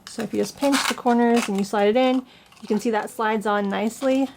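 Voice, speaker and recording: Gravelly-voiced woman teaching a paper craft. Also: a little high, steady tone, brisk, background noise. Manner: plain, patient instructions. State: getting over a cold. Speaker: craft blogger. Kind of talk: step-by-step craft tutorial.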